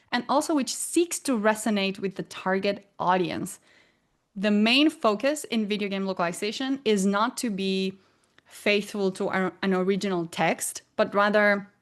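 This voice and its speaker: A woman in her forties with an Argentine Spanish accent gives a presentation. She explains things in a clear, measured way, and her voice sits at an ordinary middle pitch. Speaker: guest presenter. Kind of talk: presenting a talk.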